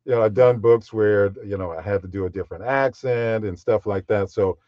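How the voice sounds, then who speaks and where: Deep-voiced man with a low, measured delivery. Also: lo-fi sound, heavy background noise. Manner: measured, unhurried, with frequent fillers. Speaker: guest voiceover artist. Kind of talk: podcast interview conversation.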